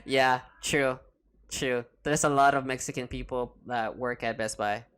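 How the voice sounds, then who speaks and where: A man hosting a podcast in casual chat, excitable and loose-tongued, swearing often and restarting his words. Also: medium pitch, a little slow, clear, resonant tone. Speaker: podcast host.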